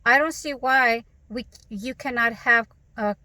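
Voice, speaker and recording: A woman's voice: a little high, unhurried, bright, forceful tone, dry recording. A woman vlogger answering viewer questions to camera in an earnest, emphatic way, often posing rhetorical questions. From Canada. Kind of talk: direct-to-camera Q&A monologue.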